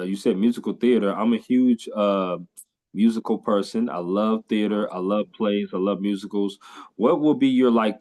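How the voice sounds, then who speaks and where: A man's voice: on the low side, a little mellow. A man from California hosting a podcast interview, talking in an enthusiastic way with lots of fillers and repeats. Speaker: podcast host. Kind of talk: casual interview chat.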